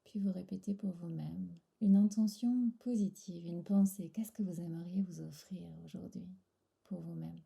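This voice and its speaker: A woman guiding a relaxation meditation in a soft, breathy, soothing voice, pausing between short phrases. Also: fairly low pitch, fairly even intonation. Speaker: yoga nidra instructor.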